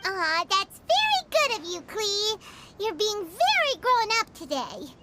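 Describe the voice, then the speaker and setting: A woman voicing a tiny, chirpy, childlike fairy companion in a video game, chatty and squeaky. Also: huge pitch swings, takes it slowly, heavy background noise, heavily acted. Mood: encouraging. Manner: chatty, refers to self in third person. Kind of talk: video game character acting. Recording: loud background music. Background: music.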